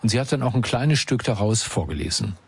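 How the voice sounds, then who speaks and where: Radio guy talking through the week's events, kinda husky, dry studio sound. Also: on the low side, animated, fairly quick, no room sound. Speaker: radio presenter. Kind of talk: scripted radio narration.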